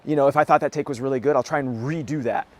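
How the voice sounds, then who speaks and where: A man in his thirties with a hoarse voice, answering interview questions in a relaxed, casual chat and laughing often. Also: medium pitch, very fast. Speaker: actor being interviewed.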